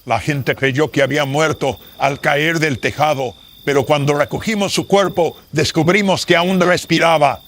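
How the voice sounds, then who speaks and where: A man's voice: a little high, moderate pace, fairly bright tone, voice pushed up. A man voicing a stern, secretive colonial nobleman in a horror radio drama, speaking formally and theatrically. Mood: grave explaining.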